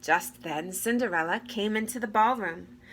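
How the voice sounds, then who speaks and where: A woman reading a fairy tale aloud to children with deliberate, expressive phrasing. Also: mid-range voice. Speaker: read-aloud storyteller. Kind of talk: expressive children's story narration. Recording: clear background noise.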